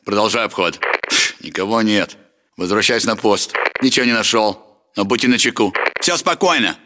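An actor playing an alert, aggressive Russian soldier in a military video game, giving terse, urgent battle commands. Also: male voice, lowish voice, moderate pace, bright, forceful tone. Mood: wary, suspicious.

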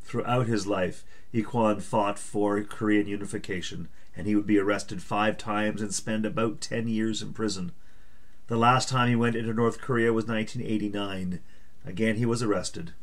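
A man's voice: lowish voice, steady tone, normal speed. A man hosting a church service, giving scripted narration and announcements.

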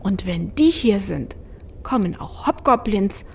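A woman playing a gentle, level-headed troll in a fan audio drama, speaking in a measured, reasoning way. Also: medium pitch, expressive, vocal fry, a little hiss or hum. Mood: reasoned.

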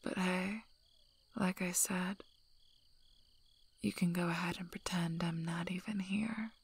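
A woman playing a tough, teasing, gruffly protective werewolf alpha in a soft-spoken, breathy ASMR roleplay, with dry delivery. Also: on the low side, steady tone, slow, full character voice. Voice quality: breathy, whispery, husky.

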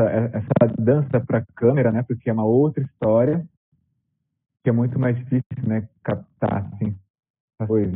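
A man's voice: mid-range voice, breathy edge, no echo. A young man, a dancer, chatting casually on a video call from a room at home, rambling with lots of fillers. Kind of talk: video call panel talk. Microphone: laptop mic on video call. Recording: tinny compressed call audio.

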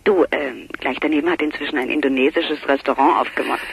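An actress playing an affectionate, playfully teasing lover in a German radio crime play. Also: female voice, deep voice, fairly even intonation, fairly quick, creaky, a little hiss or hum, rough recording. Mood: tender, teasing.

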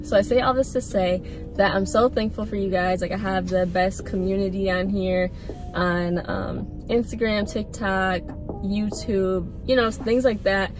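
Brief: woman in her 20s, casual car vlog, earnest rambling chat, mid pitch, phone mic in a parked car. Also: steady tone, a little slow, music in the background. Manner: chatty, earnest, many fillers and repeats. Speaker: vlogger. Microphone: phone held at arm's length or mounted on dashboard.

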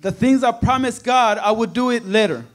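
A man with a very high voice interpreting a church sermon into English. He follows the preacher phrase by phrase, often restarting and filling gaps with "uh" as he searches for the words. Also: brisk. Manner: hesitant, with many restarts and fillers.

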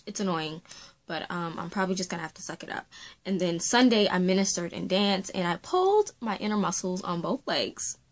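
A woman in her late twenties chats to her webcam from a small room at home, voice slightly low. She rambles and talks with her hands. Her throat is still sore from a recent illness. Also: lively intonation, brisk, a little hiss or hum. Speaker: faith and beauty vlogger. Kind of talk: casual chatty vlog to camera.

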